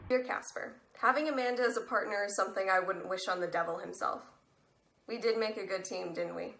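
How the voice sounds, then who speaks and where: In an echoey room with faint noise, an actress plays a teenage girl answering letters in a stage play. Her voice is bright and fairly high, with a wry, regretful manner. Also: a little fast, vocal fry. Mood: wry, fond. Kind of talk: stage acting, letters read aloud.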